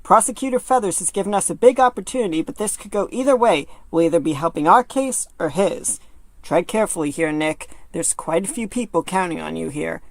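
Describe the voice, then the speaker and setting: A woman playing a careful, supportive legal partner giving measured advice in a fan audio drama. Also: lowish voice, lively intonation, no echo. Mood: cautioning. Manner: measured, advising.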